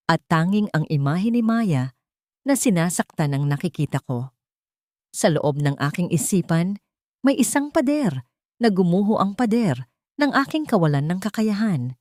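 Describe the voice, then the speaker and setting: Low-voiced woman narrating, dry sound. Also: a little mellow, no room sound. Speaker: story narrator. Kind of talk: dramatic scripted first-person narration of a Tagalog drama story.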